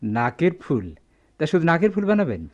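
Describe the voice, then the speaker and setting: Dull-recorded, fussy shopkeeper. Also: male voice, on the high side, big pitch swings, normal speed. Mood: grudging, impatient. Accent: Bangladeshi. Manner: hesitant muttering, impatient. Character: busy, grudging, fussy male goldsmith shop owner. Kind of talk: radio drama acting.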